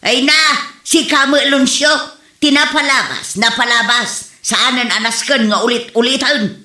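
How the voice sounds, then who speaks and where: A woman acts out a sharp-tongued village wife in a comedy radio play. Her voice is high and bright with an Ilocano Filipino accent, and it rings in an echoey room. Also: measured pace. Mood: indignant, teasing. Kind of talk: amateur comedy drama acting in Ilocano. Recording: roomy echo.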